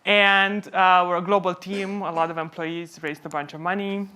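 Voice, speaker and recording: Woman doing a conference talk, lowish voice, from home, explains stuff by asking questions then answering. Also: flat delivery, moderate pace, pushed and bright, a little hiss or hum. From Romania. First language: Romanian. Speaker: company co-founder presenting at a conference. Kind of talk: conference presentation.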